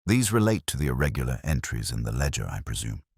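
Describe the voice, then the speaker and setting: A steady, guarded male inspector in an audio drama, voiced by a man. He probes in a controlled way, with a very deep pitch and a dry, close sound. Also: quick pace, husky, no echo, exaggerated voice. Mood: probing. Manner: controlled, probing.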